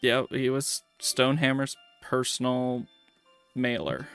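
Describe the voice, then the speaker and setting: A man's voice: medium pitch, animated, takes it slowly, vocal fry, a bit bright, clean tone, faint music behind. A man running a tabletop game as dungeon master, explaining the lore to his players in long run-on sentences.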